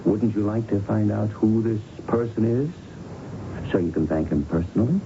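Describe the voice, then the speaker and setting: An actor playing an earnest police lieutenant in a radio drama, plain-spoken and probing. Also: male voice, on the low side, moderate pace, close to an everyday voice. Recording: muffled, noisy old radio broadcast.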